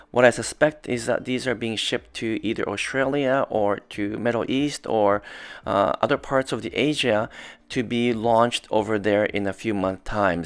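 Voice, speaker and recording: A middle-aged man from Japan hosting an automotive video, explaining details methodically with frequent filler pauses. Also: mid-range voice, clean tone. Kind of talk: casual explanatory vlog.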